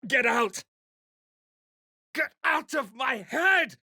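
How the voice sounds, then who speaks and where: A male actor voicing an intense spellcaster hero in a fantasy game, declaiming incantations in a forceful, strained, gravelly voice. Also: extremely high pitch, expressive, extremely slow, dry recording, clean studio sound, full character voice. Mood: fierce. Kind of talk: fantasy video game voice acting.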